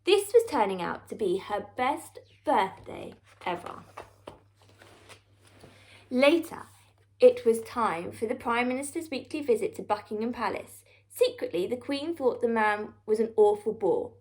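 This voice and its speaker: High-voiced woman reading a children's story. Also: animated, darkish tone. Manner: theatrical, deliberate emphasis. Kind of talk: expressive scripted storybook narration.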